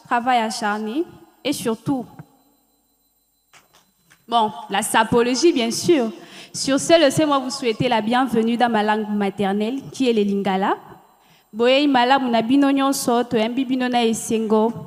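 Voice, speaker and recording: A young woman speaks proudly and deliberately in a high voice. A large hall's long reverb trails behind each phrase. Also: a little mellow. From DR Congo. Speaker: student speaker. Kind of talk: formal ceremonial welcome speech. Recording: reverberant hall.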